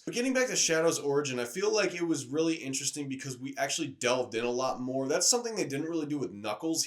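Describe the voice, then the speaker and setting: A man's voice: medium pitch, fairly bright tone. A man reviewing movies in a casual, conversational video style, enthusiastic and openly opinionated.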